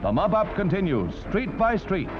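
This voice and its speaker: A man's voice: high voice, big pitch swings, normal speed, narrow, tinny audio, heavy background noise. An American man reading a scripted 1940s newsreel narration in a dramatic, declamatory style over a vintage soundtrack. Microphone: studio voice-over. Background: loud orchestral music.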